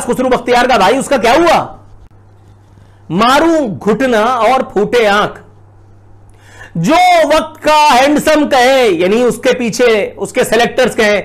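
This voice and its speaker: A middle-aged Pakistani man giving indignant, sarcastic political commentary full of rhetorical questions. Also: very high voice. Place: indoors, plain blue backdrop.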